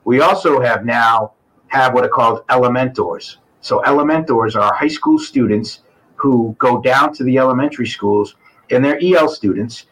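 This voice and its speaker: Earnest husky-voiced male school administrator. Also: mid-range voice, normal speed, a bit bright, low-quality recording. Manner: earnest, explanatory, lists points. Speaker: assistant superintendent. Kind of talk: interview guest, explaining.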